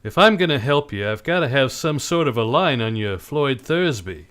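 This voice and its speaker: A man's voice: mid-range voice, animated, moderate pace, a bit bright, smooth, lightly acted. Guy playing a cynical wisecracking detective in old time radio, mid voice that moves around a lot, clipped and wry. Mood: blunt, probing. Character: hard-boiled, cynical, wisecracking male private detective.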